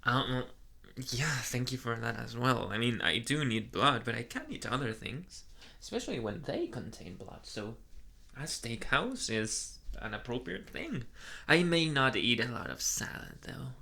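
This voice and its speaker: A man voicing a shy, flustered young vampire boyfriend in a soft ASMR roleplay, hesitant and stammering with nervous energy. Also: mid-range voice, big pitch swings, measured pace, heavily acted. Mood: shy, explaining. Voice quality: soft, breathy at times.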